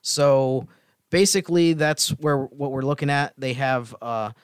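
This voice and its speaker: A man's voice: mid-range voice, unhurried, smooth, dry recording. Male podcast co-host, mid-range voice, explanatory casual sports analysis, dry studio sound.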